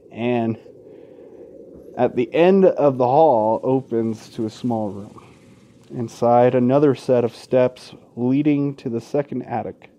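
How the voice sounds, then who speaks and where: A man's voice: mid-range voice, warm tone. A man hosting a let's play in an informal, sweary way, mixing casual gaming commentary and asides with game text read aloud.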